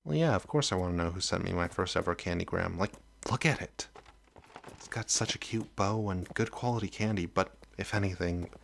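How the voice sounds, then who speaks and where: A young man acting a sweet, oblivious college best friend in a roleplay audio drama, chatty, boyish and expressive. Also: low voice, brisk, creaky, a little hiss or hum. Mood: playful, affectionate.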